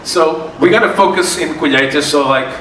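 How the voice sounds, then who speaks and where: A man with a Portuguese accent giving a wine seminar to a live audience, speaking haltingly with many fillers and restarts. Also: on the high side. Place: event hall with tasting tables. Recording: echoey room, far from mic, noisy.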